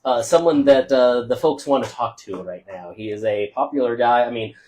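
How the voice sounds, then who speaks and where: A loud, talkative man doing local radio sports commentary after a high school football game. He reels off scores, yardage and playoff seedings in a relaxed postgame wrap-up, with plenty of uh fillers. His pitch sits right in the ordinary middle for a man. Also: normal speed, slight background noise. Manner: loud, chatty, rattles off scores and stats with frequent uh fillers.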